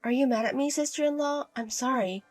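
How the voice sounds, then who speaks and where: A woman's voice: high voice, a little fast, faint music behind. A woman voicing a timid, downtrodden young wife who speaks meekly and apologetically. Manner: meek, apologetic, soft-spoken.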